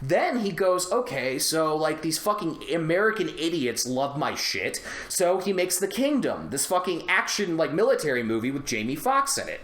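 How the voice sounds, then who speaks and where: A man chatting casually on a movie podcast, telling rambling, animated stories with plenty of swearing. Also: fairly high pitch, moderate pace, few pauses. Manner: animated, profane, rambling storytelling. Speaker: podcast co-host. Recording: roomy, echoey indoor sound.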